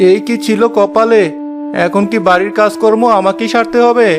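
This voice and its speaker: A man's voice: high-pitched, little pitch movement, normal speed, over music, close to an everyday voice. A man voicing a mild, resigned village farmer and husband in a cartoon, speaking plaintively. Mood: exasperated. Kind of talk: cartoon voice acting.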